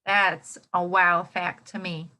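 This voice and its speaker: Female primary-teacher type for children's read-aloud: slow pace, bright tone, expressive, questioning the listeners. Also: fairly low pitch. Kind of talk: interactive children's nonfiction read-aloud lesson.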